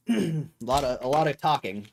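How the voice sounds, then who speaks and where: A hoarse young man hosting a livestream in a loose, rambling way, talking to his chat and often clearing his throat. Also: medium pitch, expressive, a little slow, slight background noise. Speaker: livestream host.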